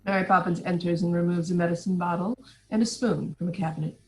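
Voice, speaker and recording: A woman's voice: on the low side, fairly even intonation, quick pace, low-quality recording. A woman giving scripted narration, reading out stage directions for a play. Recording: some background noise.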